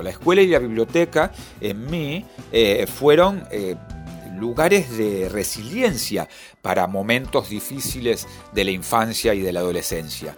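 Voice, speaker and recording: A man, reflecting on his reading and libraries with many restarts. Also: lowish voice. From Argentina. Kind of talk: personal interview testimony.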